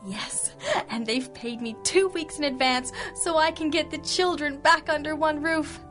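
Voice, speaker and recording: A woman playing an earnest, excitable working mother and parishioner, genteel and gushing, in a radio drama. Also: sits high, a little slow, faint music behind. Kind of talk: 1930s-style radio drama acting.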